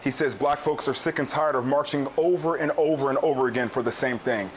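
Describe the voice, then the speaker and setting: Man, news reporter, scripted narration, mid pitch, faint background noise. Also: brisk, low-quality recording.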